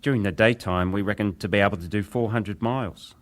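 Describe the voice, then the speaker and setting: A man playing a low-voiced, deferential young witness. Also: fairly quick, natural voice. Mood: formal, deferential. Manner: formal, deferential, precise. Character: young male British Titanic wireless operator, deferential witness. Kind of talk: dramatized reading of hearing testimony.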